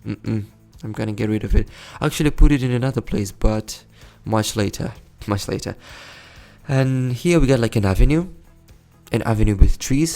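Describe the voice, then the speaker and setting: Gravelly man rambling over a city build. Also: lowish voice, darkish tone. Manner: rambling, self-correcting, chuckles often. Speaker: let's play host. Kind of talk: casual gameplay commentary.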